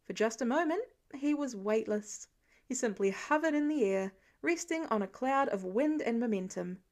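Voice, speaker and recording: High-voiced woman narrating a story. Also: lively intonation, normal speed, darkish tone. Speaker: fan fiction narrator. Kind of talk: expressive scripted story narration.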